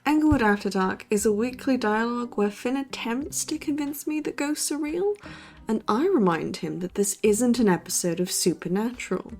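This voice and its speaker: A woman's voice: fairly high pitch, lively intonation, normal speed, darkish tone, faint music behind. A woman playing a skeptical young college student and podcast co-host in an audio drama, dry and sardonic in manner. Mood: wry, teasing. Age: young adult.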